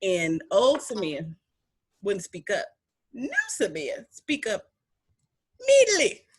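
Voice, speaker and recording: A young woman with a deep voice hosting a podcast, chatting in an animated, emphatic way with playful repetition. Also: takes it slowly, slightly rough. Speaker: podcast host. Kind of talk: casual chat.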